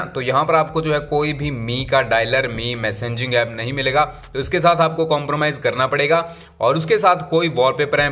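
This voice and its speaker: Man, tech reviewer, chatty walkthrough, mid-pitched voice. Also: a bit bright. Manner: chatty, mixes English terms into Hindi.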